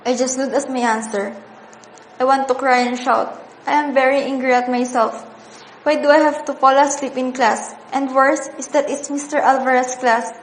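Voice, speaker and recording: A woman's voice: sits high, flat delivery, normal speed, close to an everyday voice. A woman with a Filipino accent playing an orphaned, bullied teenage girl in an amateur radio drama, earnest and melodramatic. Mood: sorrowful. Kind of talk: amateur radio drama, first-person emotional narration. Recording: reverberant hall, audible background hiss.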